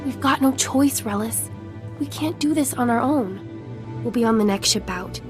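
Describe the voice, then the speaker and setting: A woman's voice: a little high, a little slow, music in the background, close to an everyday voice. An actress playing an earnest, anxious woman settler in a video game, speaking in a worried, conciliatory way. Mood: resigned. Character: earnest, anxious young woman settler, her brother's apologetic sister. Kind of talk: dramatic scripted video game dialogue.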